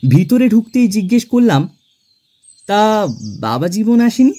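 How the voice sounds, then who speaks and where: A man playing a genial middle-class clerk who narrates his own tale in an expressive, theatrical audio drama style. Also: high voice, a little slow. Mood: warm storytelling. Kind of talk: dramatised first-person audio story narration. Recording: music under the voice.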